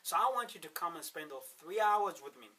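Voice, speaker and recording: A man with a Ugandan accent giving an emphatic motivational pitch as a workshop speaker, with rhetorical turns and repeated words. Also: lively intonation, a little fast, sharp tone, distant mic. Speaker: wealth-creation workshop speaker. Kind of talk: motivational sales pitch to camera.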